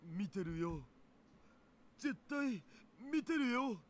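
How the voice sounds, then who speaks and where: An actor playing a goofy, lewd young nerd with a tender side in a Japanese visual novel. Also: male voice, high voice, animated, slow.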